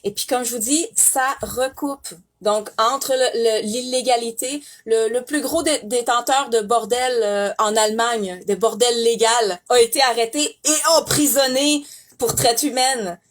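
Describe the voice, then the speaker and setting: A woman's voice: fairly high pitch, fairly even intonation, measured pace. A young woman with a Québécois French accent, giving an emphatic, indignant testimony with frequent restarts. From Canada. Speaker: former sex industry worker giving testimony. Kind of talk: impassioned testimony.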